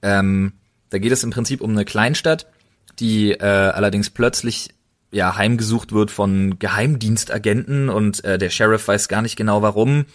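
Low-voiced German man, colloquial and emphatic. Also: steady tone, normal speed. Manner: colloquial, emphatic enthusiast who repeats intensifiers. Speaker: podcast co-host. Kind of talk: casual podcast chat.

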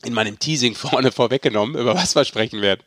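A man's voice: medium pitch, fast talker, a bit bright, dry recording, studio quality. A man hosting a sports podcast in an informal, bantering, chatty way. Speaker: podcast host. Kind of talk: casual sports podcast chat.

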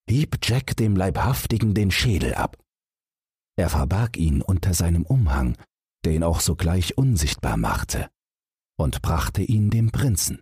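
Deep, husky male storyteller, slow-paced. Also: a little mellow, no room sound, studio quality. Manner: measured, dramatic storytelling. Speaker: audiobook narrator. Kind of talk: bedtime fairy-tale narration, scripted.

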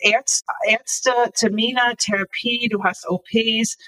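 Woman, 50s, book author as interview guest; halting delivery, hunts for words, bright tone. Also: a little high, fairly even intonation, poor audio. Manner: halting, searching for words with many fillers. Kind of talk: casual interview talk.

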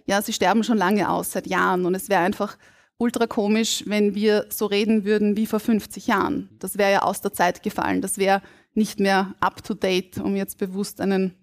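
A woman from Burgenland with an Austrian German accent, a linguist on a live podcast stage, explaining in a measured, academic way. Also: mid-range voice, flat delivery. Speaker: guest linguist. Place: stage before a live audience.

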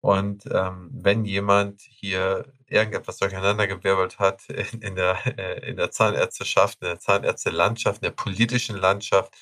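A man's voice: low-pitched, level tone, normal speed, hardly any pauses, fairly bright tone, no room sound. A man hosting a podcast interview, talking in an enthusiastic, conversational way with some filler sounds. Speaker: podcast host.

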